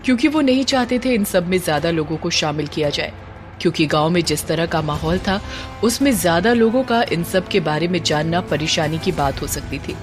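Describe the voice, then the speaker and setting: Woman reading a dramatic horror audio story, expressive, soft music underneath. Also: on the low side, fairly quick.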